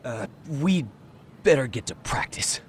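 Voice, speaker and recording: Animated game teen bully male actor: cocky, confrontational, slangy, faint noise behind. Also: medium pitch, measured pace, natural voice. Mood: backing down. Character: cocky, sullen teenage school bully. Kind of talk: English video game dub acting.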